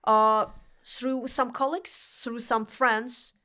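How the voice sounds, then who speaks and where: A young woman from Uzbekistan who speaks English with a Russian accent as a non-native speaker, talking earnestly with halting phrasing and restarts as a podcast guest. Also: on the high side, fairly even intonation, bright, forceful tone, clear, resonant tone. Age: young adult. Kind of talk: casual interview chat.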